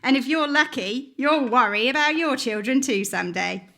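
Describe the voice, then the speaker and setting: A doting working-class mother with a high, forceful voice. She fusses fondly and scolds with a sharp tongue, in radio-play style. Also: lively intonation, normal speed. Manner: affectionate, scolding. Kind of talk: stage radio-play acting.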